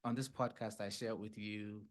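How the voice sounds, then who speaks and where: Casual Ghanaian psychologist host with a lowish voice who keeps checking in with the listener. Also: male voice, little pitch movement, normal speed. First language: Fante. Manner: conversational, checks in with "right?" and "yeah?". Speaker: clinical psychologist podcast host. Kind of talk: casual explanatory podcast talk.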